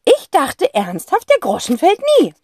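A woman doing a high, gushing eccentric artist aunt. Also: huge pitch swings, a little slow, darkish tone, dry recording, clean studio sound, exaggerated voice. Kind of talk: audiobook character voice.

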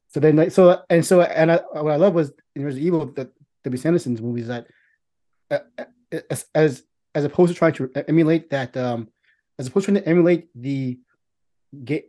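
Male podcast host, very quick delivery, casual and rambling, circles back mid-sentence. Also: medium pitch, darkish tone. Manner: rambling, halting, often restarting sentences; profane. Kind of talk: casual film discussion.

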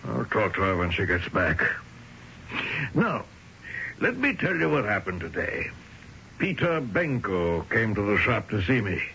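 Domineering old-country father for radio drama: argumentative, sharp-edged voice with sweeping, animated inflection. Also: on the low side, a little slow, long pauses, exaggerated voice. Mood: bossy, probing. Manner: argumentative, scolding, full of rhetorical questions. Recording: muffled, noisy old radio broadcast.

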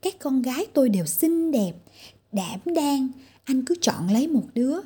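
A woman's voice: sits high, very animated, moderate pace, warm tone, husky, heavily acted. A woman voicing a kindly old father in a storybook tale, earnest and coaxing. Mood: grateful, coaxing.

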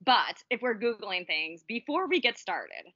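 A woman's voice: mid-range voice, sing-song, a little slow, bright, forceful tone, dull audio, a little hiss or hum. A young woman co-hosting a podcast in casual chat, bubbly and giggly. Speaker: podcast co-host.

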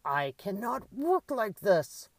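A woman voicing an imperious, melodramatic woman artist, scolding loudly and theatrically in an audiobook story. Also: mid-range voice, very animated, takes it slowly, no echo, pitched above the performer's own voice. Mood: indignant scolding.

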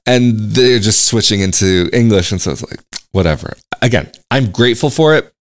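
A man's voice: lowish voice, lively intonation, moderate pace. A man from the United States talking casually to camera as an expat vlogger, rambling a little with restarts and asides. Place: home in Portugal.

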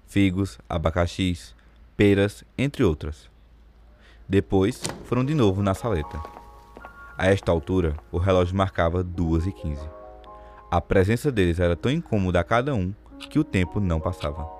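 A man's voice: low-pitched, normal speed, a little mellow, faint music behind. A man giving scripted literary narration for an audio drama.

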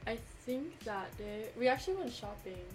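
A twenty-year-old woman co-hosting a podcast, who calls her voice naturally deep and talks in a steady, matter-of-fact way. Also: normal speed, over music, rough recording. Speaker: podcast co-host. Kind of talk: casual chat.

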